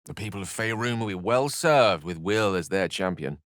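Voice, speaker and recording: A man's voice: deep voice, expressive, moderate pace, fairly bright tone, dry recording, studio quality. An actor playing an erudite, witty and self-assured wizard in a video game, eloquent and theatrical in his wordy delivery. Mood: earnest. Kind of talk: video game character acting.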